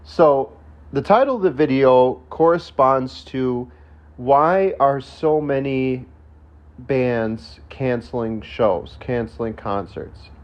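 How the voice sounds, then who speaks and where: A man's voice: medium pitch, slow pace, lo-fi sound. A man hosting his own channel, talking casually while stuffed up from a sinus infection, with coughs and lots of fillers and restarts. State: coughing, throat not fully recovered. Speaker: channel host. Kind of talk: casual solo video talk.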